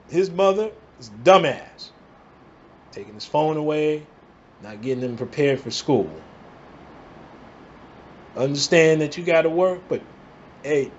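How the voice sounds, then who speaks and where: A man from the Bronx, ranting in emphatic bursts and tossing in "all right?" to keep his listeners with him. His voice sits a touch higher than most men's. The recording is dull and lo-fi. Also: a little hiss or hum. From the Bronx, New York. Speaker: vlogger. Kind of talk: angry commentary rant.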